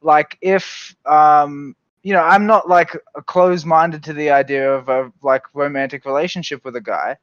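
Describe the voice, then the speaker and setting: A man's voice: on the high side, fairly even intonation, moderate pace, few pauses, a bit bright, dry recording, lo-fi sound. Rambling young man, dry close mic. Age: 20s. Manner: rambling, hedging with many fillers. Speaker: podcast debate regular. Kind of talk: casual group voice chat.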